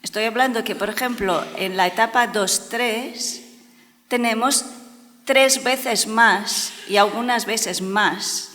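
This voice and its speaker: Brazilian-accented woman, conference speaker, slow measured delivery, earnest and emphatic, long hall reverb. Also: a little high, a bit bright. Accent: Brazilian Portuguese. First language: Portuguese. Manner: earnest and emphatic, repeats words for stress. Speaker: education speaker and consultant. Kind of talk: conference talk.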